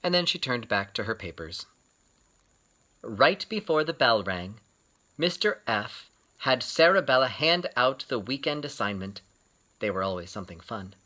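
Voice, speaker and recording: A man telling a children's picture-book story as a read-aloud, expressive and varied in his emphasis. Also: medium pitch, moderate pace, poor audio.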